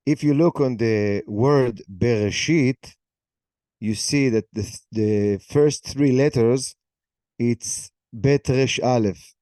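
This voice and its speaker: Slow, mellow-voiced man explaining scripture. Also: mid-range voice, dry recording, studio quality. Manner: non-native English, halting. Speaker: participant in an online session. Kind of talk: explaining a Bible passage.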